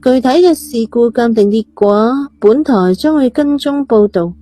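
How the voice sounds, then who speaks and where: A woman voicing a formal, measured television news anchor reporting in an audio story. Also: fairly high pitch, animated, warm tone, no room sound, voice pushed up. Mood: formal, serious.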